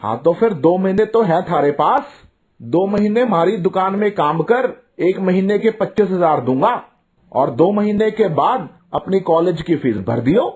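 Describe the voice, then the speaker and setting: Man acting a gruff Haryanvi uncle. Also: high voice, expressive, unhurried, a little mellow. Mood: brusque, bargaining. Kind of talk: Hindi cartoon voice acting.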